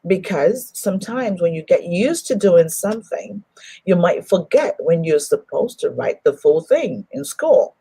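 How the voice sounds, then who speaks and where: A woman hosts a live online talk show, and her voice sits low and mellow. She speaks effusively, warmly greeting viewers one by one. The sound is dry and close. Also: very animated, unhurried, gravelly, breathy edge, dry recording. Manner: effusive, welcomes viewers by name. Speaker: host.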